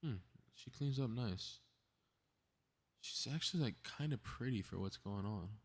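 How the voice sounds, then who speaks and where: A man's voice: medium pitch, animated, unhurried, husky. A man acting a cocky, mischievous young male superhero in a scripted ASMR audio drama, muttering sarcastic, casually profane asides. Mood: scheming, amused.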